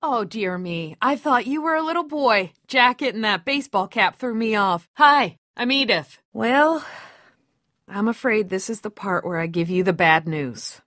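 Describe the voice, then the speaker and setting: A woman's voice: medium pitch, animated, unhurried, a bit bright, no echo, clean studio sound. A woman voicing a kindly, apologetic survivor camp gatekeeper in a video game, with a folksy, motherly, hesitant manner. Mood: apologetic, gentle. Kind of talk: dramatic video game dialogue.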